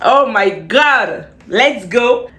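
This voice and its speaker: A woman's voice: on the high side, expressive, extremely slow, reverberant, music in the background. A young woman vlogging in a casual, slangy way, a bit stuffy from a cold, repeating words for emphasis as she gives advice. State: stuffy, still has a cold. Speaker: beauty vlogger. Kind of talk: chatty storytime vlog with advice.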